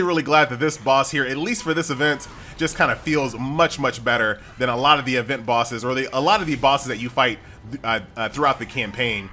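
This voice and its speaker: A man's voice: a little high, sharp tone. An American man giving casual, chatty gameplay commentary in an informal, conversational way. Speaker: gaming YouTuber.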